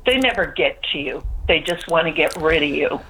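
A woman's voice: low voice, animated, fairly quick, pushed and bright, husky. An older woman, calling in to a radio show and pouring out her frustration in long, pleading runs. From Newfoundland, Canada. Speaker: caller. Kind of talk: call-in radio complaint. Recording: tinny phone line.

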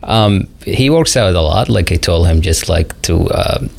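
A middle-aged man from Saudi Arabia, a proud father being interviewed on radio, speaking haltingly with many restarts and fillers. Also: deep voice, expressive, vocal fry. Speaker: father of a young champion, guest.

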